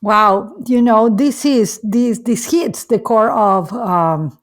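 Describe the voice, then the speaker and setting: Effusive woman host with non-native accent. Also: fairly high pitch. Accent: non-native English. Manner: effusive, halting, repeats phrases. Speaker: podcast host. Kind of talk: conversational podcast interviewing.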